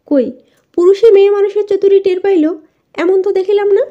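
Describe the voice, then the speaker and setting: A woman's voice: very high voice, fairly even intonation, moderate pace, warm tone, voice pushed up. A woman reading a bold, teasing palace maidservant in an audiobook, glib and matter-of-fact. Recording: dull, lo-fi voice recording.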